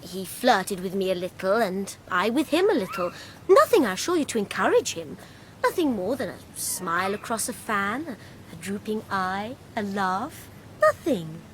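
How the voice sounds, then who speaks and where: Coquettish young society lady, animated pitch played by an actress. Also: moderate pace, close to an everyday voice, low-quality recording. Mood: teasing, confiding. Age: young adult. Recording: faint background noise, 1990s radio play.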